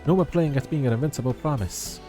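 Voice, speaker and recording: A male game streamer with a mid-pitched voice and a quick pace. He reads dialogue and chats casually, tripping on a word now and then, with faint music underneath. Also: warm tone.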